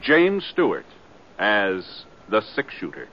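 A man's voice: lowish voice, wildly swooping pitch, slow. A man announcing a 1950s radio western with dramatic, scripted delivery. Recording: muffled old radio recording, loud music.

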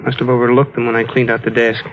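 An actor playing a troubled husband in a mid-century radio play, in a medium-pitched voice. He is tense and easily irritated, and the old recording sounds dull and muffled. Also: monotone, fast talker, close to an everyday voice. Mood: weary, irritable. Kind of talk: 1940s-50s radio drama acting.

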